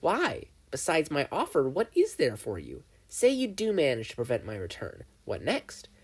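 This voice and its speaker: Gleeful evil triangle demon as a reader puts him on, mocking and theatrical, husky edge, pitch jumping all over. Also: huge pitch swings, moderate pace. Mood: taunting. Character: gleeful menacing male triangle dream demon. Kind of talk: fan fiction audiobook reading.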